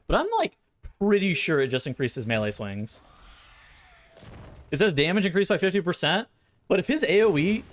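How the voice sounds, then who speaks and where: A man giving casual, blunt gameplay commentary with swearing, thinking aloud as he explains strategy, his voice a little tired. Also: sits high. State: voice tiring, sore throat. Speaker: streamer.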